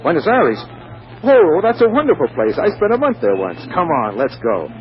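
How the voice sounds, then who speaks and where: An actor playing a smooth-talking, slightly bashful businessman in a 1940s radio drama, polite and deliberate. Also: high voice, wildly swooping pitch, music in the background. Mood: amused, breezy. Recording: muffled, hissy 1940s radio recording.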